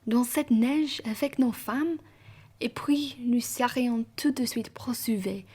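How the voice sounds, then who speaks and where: Woman doing a posh indignant gentleman traveller, husky and soft-toned, formal, amateur radio drama. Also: fairly high pitch, takes it slowly. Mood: grave, resigned. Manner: formal, emphatic, theatrical. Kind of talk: amateur radio play acting.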